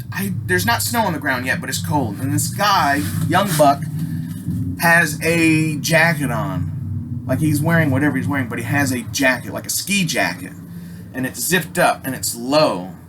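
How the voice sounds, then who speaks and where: A man's voice: medium pitch, pushed and bright, slight background noise. An American man telling a personal story casually, rambling and emphatic, swearing heavily with abrupt stops and repeats. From Alaska, United States.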